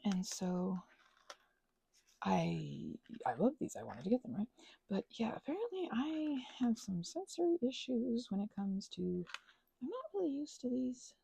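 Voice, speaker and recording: Breathy gal doing scattered asmr craft chatter, mellow and creaky, keeps cutting herself off, super close dry mic. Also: female voice, on the high side, big pitch swings, measured pace, no echo. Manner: scatterbrained, playful, self-interrupting, often dropping toward a whisper. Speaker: ASMR creator. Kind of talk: casual rambling ASMR craft chat.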